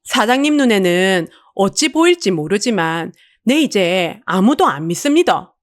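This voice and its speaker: A woman voicing a wounded, distrustful street vendor with a Busan dialect and an anguished, high-strung manner in an audio drama. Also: big pitch swings, measured pace. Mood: fearful, desperate. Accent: Busan Gyeongsang dialect.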